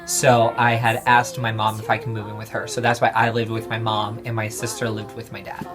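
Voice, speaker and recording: A man in his twenties being interviewed, talking casually and hesitantly with many pauses and restarts. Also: lowish voice, completely flat delivery, over music. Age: 20s.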